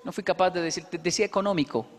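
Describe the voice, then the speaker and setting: A man with a Colombian accent speaks high in his range, holding a handheld mic on a large indoor stage. He tells lively stories to an audience and acts out the dialogue with quick asides. A long reverb from the hall trails after his words. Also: background noise. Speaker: motivational speaker. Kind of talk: stage talk with storytelling. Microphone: handheld microphone.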